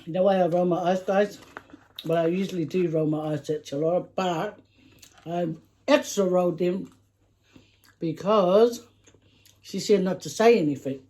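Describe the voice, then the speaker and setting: A woman's voice: lowish voice, normal speed, pauses often, mellow. A middle-aged woman chatting casually with her daughter while eating on camera, laughing often and talking between bites. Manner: chatty, giggly, talks while chewing. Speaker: mukbang vlogger, mother.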